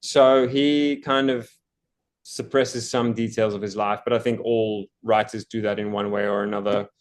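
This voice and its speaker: A man with a low, fairly flat voice talks through a classic novel in a relaxed chat. He is thoughtful, stopping often to restart a phrase or repeat a word before pushing on. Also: normal speed. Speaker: book channel host. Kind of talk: casual book discussion.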